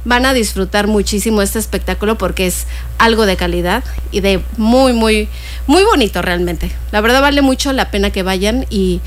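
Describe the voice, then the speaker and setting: A woman's voice: mid-range voice, moderate pace. A woman, a guest on a talk show, earnestly introducing and praising a performer. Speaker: guest, event presenter. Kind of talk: interview conversation.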